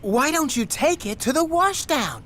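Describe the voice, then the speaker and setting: A woman's voice: high voice, sing-song, a little slow, fairly bright tone, slight background noise, exaggerated voice. A woman voicing a concerned, helpful male steam engine in a children's cartoon, with an earnest manner. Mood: gentle advice. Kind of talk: children's cartoon character acting.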